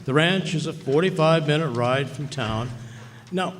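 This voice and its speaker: A man's voice: on the high side, unhurried, close to an everyday voice. A man playing a scheming, wary frontier lawyer who wheedles in an old-time western radio drama. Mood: pleased, brisk. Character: Hector, crooked scheming male frontier lawyer, self-protective. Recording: echoey hall, live stage performance.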